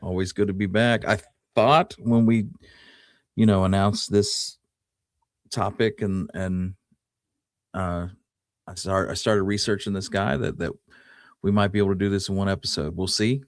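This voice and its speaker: A man with a low-pitched voice talks casually as the co-host of a podcast. He is laid-back and conversational. The sound is dry, without room echo. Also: steady tone, moderate pace, long pauses. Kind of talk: casual chat.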